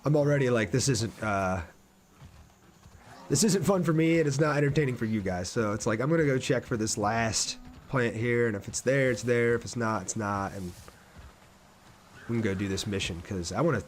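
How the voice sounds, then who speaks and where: A man's voice: medium pitch, moderate pace, a little hiss or hum. A man live streaming a game, thinking aloud in an informal way and chatting casually with viewers as he plays.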